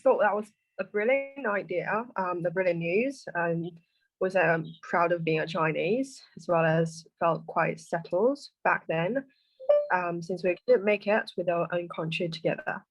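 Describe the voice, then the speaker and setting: A woman's voice: fairly low pitch, a little slow, no echo, low-quality recording. A woman in her twenties answering interview questions, with many fillers. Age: 20s.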